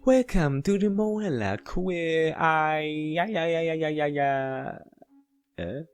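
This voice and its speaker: Guy doing playful excitable kid-like visual novel character, pitched up, exclaiming, bit of music behind. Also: slow pace, clear, resonant tone. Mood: excited, playful. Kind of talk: amateur character read-aloud.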